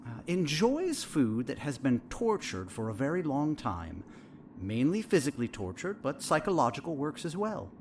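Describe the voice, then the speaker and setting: A man playing a sinister, smug therapist in a horror audio drama, measured and theatrical, with a falsely soothing, condescending manner. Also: mid-range voice, darkish tone, slight background noise.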